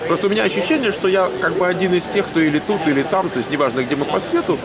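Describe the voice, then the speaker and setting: Man, middle-aged, fast, animated, rambling, loud crowd and music behind. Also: high voice, low-quality recording. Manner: animated, quick, rambling with many fillers. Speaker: channel host living in the US. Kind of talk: casual conversation.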